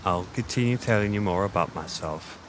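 A man playing a flirty, boastful Irish innkeeper, chatting in a meandering, intimate roleplay monologue with thoughtful pauses. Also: sits low, slight background noise, close to an everyday voice. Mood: chatty, reminiscing.

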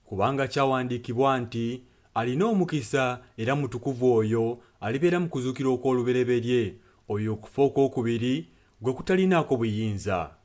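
A man's voice: medium pitch, expressive, fast, a little mellow. A man interpreting a sermon and prayer solemnly, phrase by phrase. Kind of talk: consecutive translation of a sermon and prayer.

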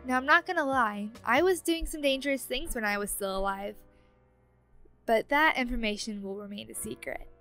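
Woman playing a high-pitched playful ghost roommate. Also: moderate pace, faint music behind. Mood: teasing. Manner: playful, chatty, expressive. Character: friendly, teasing, impatient young female ghost roommate. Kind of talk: ASMR roleplay audio drama.

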